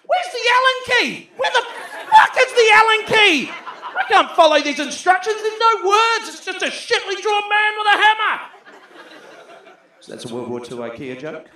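New Zealand man, stand-up, high lively voice, bright edge, roomy club sound. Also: normal speed, some chatter behind, slight background noise. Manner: brash, sweary, shouts punchlines. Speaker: stand-up comedian. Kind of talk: stand-up comedy set. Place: comedy club stage, brick wall. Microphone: handheld mic. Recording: echoey club room.

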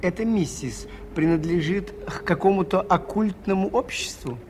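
An actor playing a mild, inquisitive priest detective in a Soviet radio play, with a hesitant, gently questioning manner. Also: male voice, high voice, moderate pace, lightly acted. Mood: curious, mild. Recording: noisy 1980s radio play recording.

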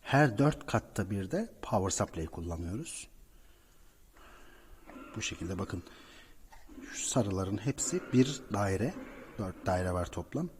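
Low-voiced man in an echoing hallway. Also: expressive, slow pace, pauses often. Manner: explanatory, addressing viewers directly. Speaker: repair technician presenter. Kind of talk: informal on-site repair walkthrough. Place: indoors, apartment building hallway by cable shafts. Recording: reverberant stairwell, clear background noise.

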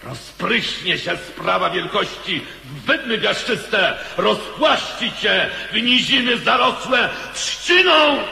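Piercing, creaky, fervent counsellor. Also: male voice, sits high, lively intonation, takes it slowly, bright, forceful tone, exaggerated voice. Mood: exalted, urgent. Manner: declamatory, exalted. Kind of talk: poetic radio play acting. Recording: echoey hall reverb.

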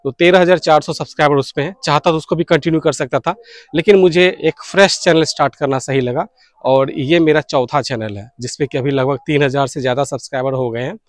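A man's voice: on the high side, fairly even intonation, fairly quick, dry recording. A man in his thirties talking earnestly and casually to his viewers, explaining things and mixing in English words. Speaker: radio hobbyist video blogger. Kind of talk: casual explanatory vlog to camera. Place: open balcony or rooftop outdoors beside fields. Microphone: clip-on lapel mic.

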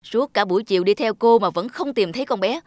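A man's voice: sits high, quick pace, dry recording, studio quality, lightly acted. Man acting a stern, reproachful father. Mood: angry, blaming. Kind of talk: cartoon drama acting.

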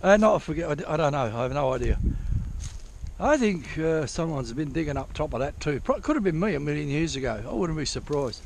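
A man with a slightly high voice talks loosely and off the cuff, correcting himself as he wanders. He swears casually, and his voice is picked up by a camera on his head out in the bush. A faint hiss of outdoor noise sits behind him. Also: lively intonation, a little fast, vocal fry. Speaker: prospecting vlogger. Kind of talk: rambling outdoor walkthrough. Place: bush forest outdoors, old diggings. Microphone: head-mounted action camera.

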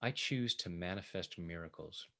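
A man working as a tapping coach, leading guided affirmations in short, measured phrases meant to be repeated. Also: low voice, fairly bright tone.

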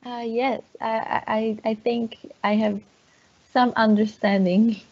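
A woman lecturer and therapist answering on a video call, measured, often repeating words as she thinks. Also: on the high side, level tone. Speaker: teacher and therapist. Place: room at home. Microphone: wired earphone mic on a video call. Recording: dull lo-fi video call audio.

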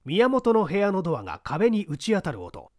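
A man reading a composed, lecturing older gentleman. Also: high voice, wildly swooping pitch, dry recording, studio quality, voice pushed up. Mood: narrating. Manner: measured, lecturing, poses pointed questions. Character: composed middle-aged man testing a young applicant. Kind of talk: audiobook reading of a detective story.

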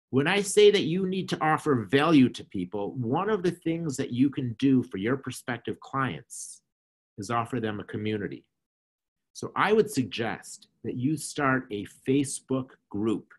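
Middle-aged man, nasal and slightly husky, earnest coaching tone, webcam audio. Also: medium pitch. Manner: earnest, coaching, repeats phrases. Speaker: interview guest, marketing consultant. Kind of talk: explaining advice in an online talk. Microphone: video call webcam.

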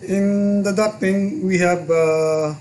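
A man in his forties with a Filipino accent, hosting a home vlog and software tutorial, chatty and halting, mixing languages. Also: sits high, steady tone, a little hiss or hum. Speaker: channel host and software tutor. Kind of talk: casual vlog chat and screen tutorial. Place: small room at home, indoors.

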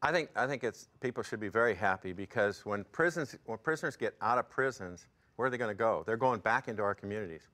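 A man's voice: fairly low pitch, fast talker, sharp tone. Plain-spoken man in his sixties. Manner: plain-spoken storyteller, fervent. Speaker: ministry board member, guest. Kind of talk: interview testimony. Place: television studio set, indoors.

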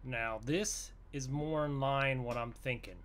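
A man's voice: mid-range voice, steady tone, a little slow. A man giving casual, folksy gameplay commentary in roleplay, thinking aloud as he goes. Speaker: gaming video host. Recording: loud vehicle engine noise behind. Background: game truck engine sounds.

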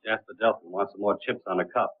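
Brusque henchman male actor, low, fast, clipped; muffled 1930s movie sound. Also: steady tone, lightly acted. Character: brusque gambling-club henchman. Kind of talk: 1930s film acting.

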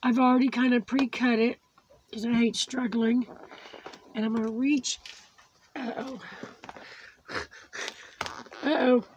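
A high-pitched woman whose voice is fading after surgery, so it comes out worn and thin. She talks slowly and chattily while she films her crafts from above at a tabletop. A faint hiss of noise sits under the recording. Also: level tone, a little mellow, lo-fi sound. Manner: slow, chatty, cooing over small crafts. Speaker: crafting channel host. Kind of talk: casual unboxing chat. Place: indoors at a tabletop craft setup.

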